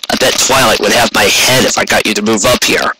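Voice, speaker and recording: A man's voice: lowish voice, steady tone, fairly quick, noisy background, lightly acted. A man voicing a weary, wounded male pony guard captain, proud and dutiful, speaking in a tired, firm and terse way. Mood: tired, friendly.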